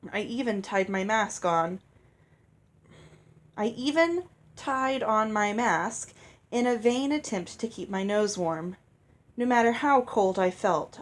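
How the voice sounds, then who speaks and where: A woman reading a novel aloud as narrator, in a storytelling style. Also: medium pitch. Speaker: audiobook reader.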